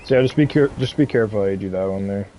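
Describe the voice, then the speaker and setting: A man giving casual gameplay commentary as a streamer, laid-back and halting, often repeating himself. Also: lowish voice, warm tone, a little hiss or hum. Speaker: game streamer giving a walkthrough.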